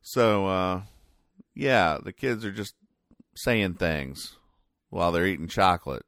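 Male comedy podcast host, deep voice, halting deadpan explainer, close dry recording. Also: no room sound. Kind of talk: comic commentary describing newspaper comic strips.

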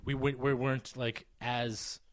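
A man's voice: medium pitch, flat delivery, unhurried. A man in his thirties chatting casually as a podcast co-host, rambling with many restarts and fillers and swearing freely. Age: 30s. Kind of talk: casual chat.

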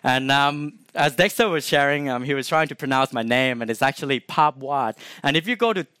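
A man's voice: medium pitch, fairly quick, pushed and bright, clean tone, no echo. A man in his twenties from Thailand with a Thai accent, sharing a personal faith testimony with an audience in a chatty, informal way, full of "um"s and restarts. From Thailand (Chiang Mai). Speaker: guest speaker sharing testimony.

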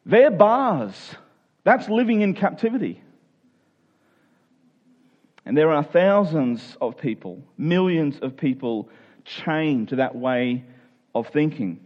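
A man with a somewhat high-pitched voice delivers a sermon in everyday language. He is earnest, asking questions of his listeners and rephrasing points for emphasis. The recording is a little dull and lo-fi. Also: very animated, slow, long pauses. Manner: earnest, rhetorical questions, restating phrases for emphasis. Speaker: preacher. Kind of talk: sermon, explaining a Bible passage in plain conversational words.

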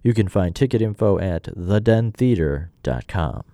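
A man's voice: low voice, lively intonation, moderate pace. An American man hosting a radio arts program, reading scripted news and event details. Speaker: radio host.

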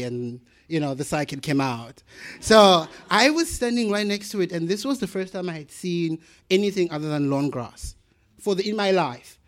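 A South African man in his thirties, a plant biologist telling a playful, animated story in a talk. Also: a little high. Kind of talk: storytelling presentation.

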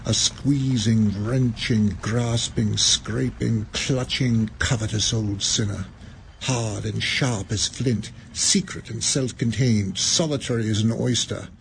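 Measured male radio play narrator. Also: fairly low pitch, vocal fry, slight background noise. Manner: measured, relishing the words. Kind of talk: scripted dramatic narration.

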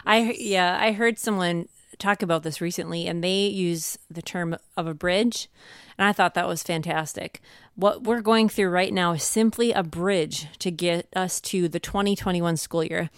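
A woman's voice: fairly low pitch, moderate pace, clean tone, dry recording, clean studio sound. A woman co-hosting a podcast, giving conversational advice in an encouraging, reassuring way. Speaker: podcast co-host.

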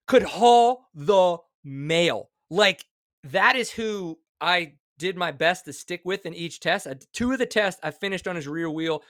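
A man in his forties, a co-host talking excitedly and emphatically in a casual podcast chat. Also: high voice, measured pace, no echo, studio quality. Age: 40s.

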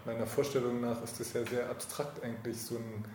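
A man's voice: mid-range voice, flat delivery, brisk. A man conducting a casual interview, informal and searching, often rephrasing his questions midway. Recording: echoey room, clear background noise.

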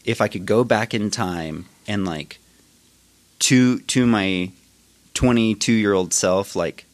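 Low-voiced thoughtful man, dry sound. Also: takes it slowly, no room sound. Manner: thoughtful, hesitant, many fillers and restarts. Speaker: podcast guest, musician. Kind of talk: casual interview chat.

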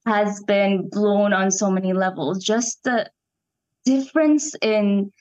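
A woman's voice: medium pitch, fairly even intonation, slow, a little mellow. Enthusiastic young woman, slightly muffled. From Iran, raised in Canada. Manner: enthusiastic, thoughtful, searching for words. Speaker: interview guest, actress. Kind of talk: reflective interview conversation.

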